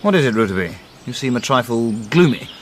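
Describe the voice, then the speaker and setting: An actor gives us a gentlemanly sidekick from an old-style radio mystery. He is courteous and earnest, with a mid-range male voice that swings readily as he questions or marvels. There is slight noise in the background. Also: medium pitch, very animated, fast, natural voice. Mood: fond concern. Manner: polite, hesitant questioning, theatrical. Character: gentlemanly male companion and narrator, earnest, easily amazed. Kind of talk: period radio drama acting.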